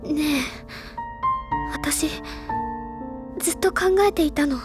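A woman playing an excitable, bookish schoolgirl senior in an anime audio drama, with a girlish, expressive delivery. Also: sits high, takes it slowly, over loud music. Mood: earnest, pondering.

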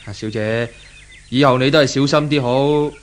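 Man acting sympathetic passerby, courteous, careful, medium male pitch, Hong Kong Cantonese accent, vintage radio drama. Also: fairly even intonation, moderate pace, slight background noise, lightly acted. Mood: cautioning. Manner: polite, cautioning.

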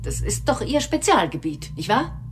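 A woman's voice: mid-range voice, sing-song, moderate pace, slight background noise, natural voice. An actress playing an impatient, commanding female state secretary, formal and authoritative, in a radio crime drama. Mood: brisk, formal.

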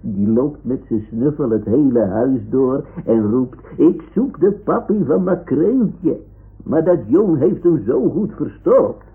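An actor playing a boastful, childlike toy sea lion who tells things in a halting, self-important way in an old radio play. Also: male voice, medium pitch, animated, breathy edge, full character voice. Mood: defiant. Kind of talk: 1960s-70s Dutch radio play acting. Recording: dull, muffled old radio recording.

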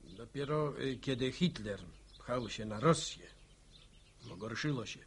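A man's voice: mid-range voice, a little slow, breathy edge. An actor playing a seasoned, plain-spoken middle-aged village man in a radio drama, telling stories colloquially. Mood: reflective recounting. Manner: colloquial, animated storytelling.

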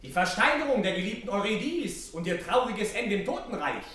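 An actor playing a manic theatrical showman who announces gruesome love tragedies in a radio play, with grand, drawn-out flourishes. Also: medium pitch, very animated, a bit bright. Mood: mock-grand. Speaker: show announcer. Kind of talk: exaggerated radio play barker. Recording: very echoey, distant from the microphone.

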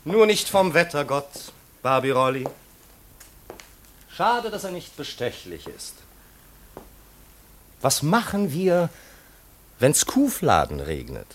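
An actor playing a breezy, imperious marquis in a 1950s radio play, flippant and bossy, on a noisy old recording. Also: male voice, a little high, wildly swooping pitch, slow, pauses often, exaggerated voice. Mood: flippant, teasing. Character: breezy, imperious, wealthy marquis, teasing his servant.

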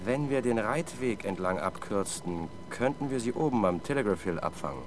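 A man acting a keen patrol sergeant in a radio play, speaking casually in a lowish voice. His intonation is lively, and loud vehicle noise surrounds him. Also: moderate pace, natural voice. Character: eager male police sergeant, driver on night patrol. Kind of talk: 1970s German radio crime drama acting.

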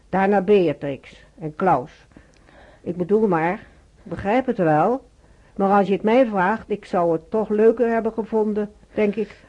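An actress playing a rambling, opinionated, gossipy woman in a radio play, with a husky voice on a dull old recording. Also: medium pitch, natural voice. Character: gossipy, opinionated chatterbox, mildly defensive. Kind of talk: naturalistic radio play dialogue.

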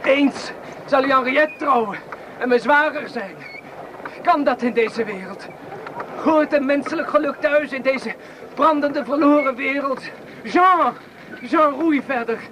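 An actor playing a wounded, exhausted young Communard in a Dutch radio play, halting and theatrical with feverish declamation. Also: male voice, very high voice. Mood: exalted, delirious. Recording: noisy old radio play recording.

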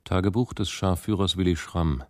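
A low male voice, recorded dry, serves as announcer in a radio drama played by an actor. The delivery is terse, factual and impersonal. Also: moderate pace, no echo, lightly acted.

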